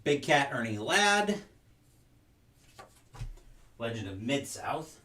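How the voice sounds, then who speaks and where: A man's voice: medium pitch, sing-song, slow pace, pushed and bright. A young man talks casually through an unboxing, reading names aloud and drifting into side stories. His voice sits in a middle range and sounds a little distant from the mic, in a room with noticeable echo. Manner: rambling, reads names aloud while sorting. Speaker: collector unboxing wrestling game cards.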